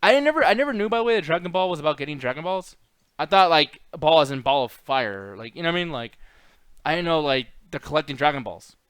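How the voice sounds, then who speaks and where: Fast, animated man with a higher-pitched voice. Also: smooth, dry recording. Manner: animated, profane, slangy. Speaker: podcast host. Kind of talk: casual chat, arguing about films.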